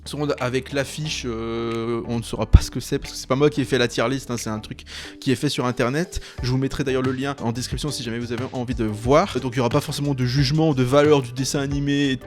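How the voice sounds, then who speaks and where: A man's voice: medium pitch, fairly even intonation, fast, a little mellow, soft background music. A man in his late twenties hosting a video, chatting casually and explaining a tier list in an informal, rambling way. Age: late 20s. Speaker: YouTube host.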